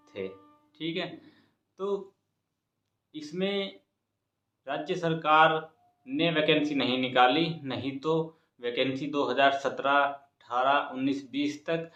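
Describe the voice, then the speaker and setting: A man's voice: mid-range voice, fairly bright tone. A man giving students an informal exam update in a repetitive, encouraging manner. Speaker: exam coaching YouTuber and host. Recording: echoey room, dull muffled sound.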